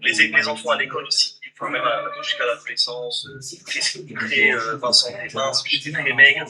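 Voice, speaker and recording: A man's voice: medium pitch, steady tone, normal speed, crowd noise. A man giving a motivational talk about taking action, hesitating and repeating his words with many fillers. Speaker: entrepreneur giving advice. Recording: distant video playback with loud music. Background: loud music.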